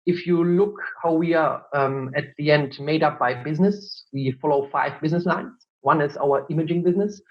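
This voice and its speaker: A man's voice: on the high side, moderate pace, highs cut off. A man from Germany with a German accent, answering interview questions as a marketing director, explaining patiently with frequent fillers. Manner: explanatory, many fillers and "look".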